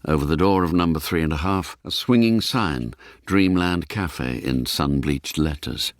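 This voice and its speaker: A man narrating a spy thriller radio drama in a measured, grave storytelling manner. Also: very deep voice, no echo, clean studio sound. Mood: measured, atmospheric.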